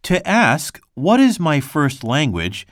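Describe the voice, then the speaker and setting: A man reading a textbook passage aloud in a measured, scripted way. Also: on the high side, huge pitch swings, no room sound, studio quality. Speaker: textbook reader.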